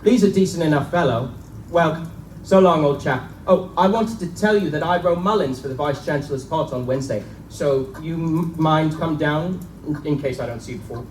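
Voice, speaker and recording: A man's voice: on the high side, moderate pace, a little mellow, natural voice. Man acting breezy young college chap, roomy recording. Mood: jaunty, gossipy. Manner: chummy old-fashioned slang, casual. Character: breezy young Oxford chap, sporty gossiping student. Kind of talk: live dramatic reading of gothic pulp tale. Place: live stage reading in a bookstore. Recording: echoey live recording, distant mic, faint noise.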